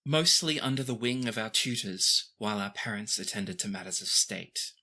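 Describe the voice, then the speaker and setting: A performer voicing a young teenage princess who is gentle, guarded and composed, with formal, measured phrasing in a fantasy tabletop game. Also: medium pitch, lively intonation, slightly rough, full character voice. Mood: reserved, wistful.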